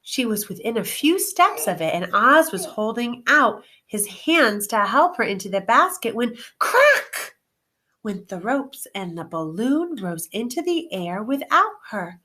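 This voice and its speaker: A woman teacher reading a children's storybook aloud with expressive, clearly phrased narration. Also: fairly high pitch, measured pace.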